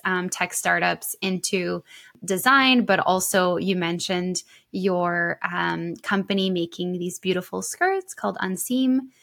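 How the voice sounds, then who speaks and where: Affirming woman podcast host. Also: on the low side. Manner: warm, affirming, encouraging. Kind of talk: casual interview.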